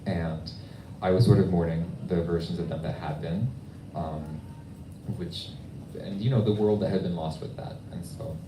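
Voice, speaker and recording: Very low-voiced man in an echoey room. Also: fairly even intonation, moderate pace. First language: English. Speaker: author answering audience questions. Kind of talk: conversational book event Q&A. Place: bookstore event room. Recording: echoey room, distant mic, noisy.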